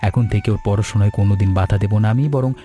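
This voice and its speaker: A man voicing a sincere, courteous young suitor in an animated story, speaking earnestly and politely. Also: fairly low pitch, very fast, soft background music, natural voice. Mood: respectful, grateful. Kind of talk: Bengali animated story dialogue.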